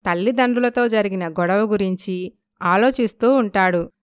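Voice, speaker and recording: A woman's voice: mid-range voice, normal speed, smooth, dry recording, clean studio sound. A woman narrating a scripted story as storyteller.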